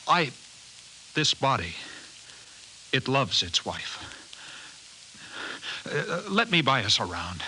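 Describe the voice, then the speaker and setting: Nervous, bright-voiced Martian in human form. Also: male voice, medium pitch, sing-song, full character voice, low-quality recording. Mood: nervous, hesitant. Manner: hesitant, halting, oddly formal. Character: timid, anxious Martian inhabiting an ordinary man's body. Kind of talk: 1950s radio drama acting. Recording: hissy 1950s radio recording.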